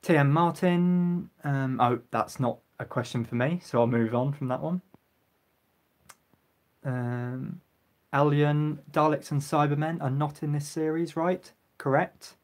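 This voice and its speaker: A man's voice: a little high, fairly even intonation, measured pace, a little mellow. Chatty man hosting a live stream, throat getting sore. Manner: chatty, frequent ums, clears throat and coughs. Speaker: live stream host reading viewer comments. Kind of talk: casual live stream chat.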